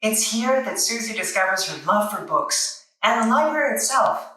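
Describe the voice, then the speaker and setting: A woman narrates a playful scripted review with wry, tongue-in-cheek delivery. Her voice is bright and medium-pitched, heard a little away from the microphone in a very echoey room. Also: lively intonation, moderate pace, distant mic.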